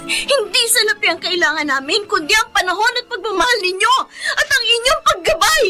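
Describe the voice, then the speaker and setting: A woman's voice: very high voice, animated, brisk, pushed and bright, faint music behind, full character voice. A young woman acting as a devoted, self-sacrificing elder sister in a Filipino radio drama, with earnest, emotional delivery. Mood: tearful, pleading.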